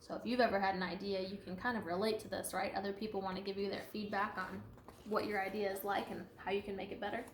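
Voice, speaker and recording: A woman reading a children's picture book aloud with expressive emphasis and chatty asides to listeners. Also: mid-range voice, quick pace, slight background noise. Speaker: storybook reader. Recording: echoey room.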